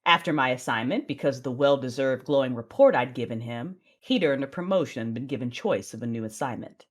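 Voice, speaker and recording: A woman narrating an audio drama in the first person, with a deliberate, theatrical delivery. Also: very deep voice.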